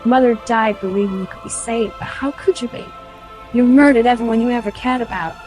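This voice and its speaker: A defiant young rebel played by a woman, fierce and accusing in a fan audio drama. Her voice sits fairly high, with loud dramatic music underneath. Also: brisk, lightly acted. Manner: indignant, confrontational.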